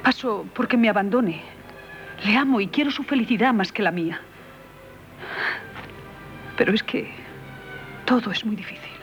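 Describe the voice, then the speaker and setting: A woman's voice: fairly high pitch, moderate pace, long pauses, faint music behind, close to an everyday voice. An actress with a Castilian accent playing a humble, troubled married woman in love in a 1970s radio play, formal and hesitant. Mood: pleading. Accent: Castilian Spanish from Spain. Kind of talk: 1970s radio theatre acting. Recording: muffled old radio broadcast.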